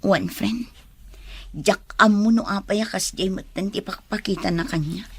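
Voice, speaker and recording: A woman playing a meek, troubled, apologetic young woman with a breathy, hesitant voice in an Ilocano radio drama. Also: medium pitch, unhurried.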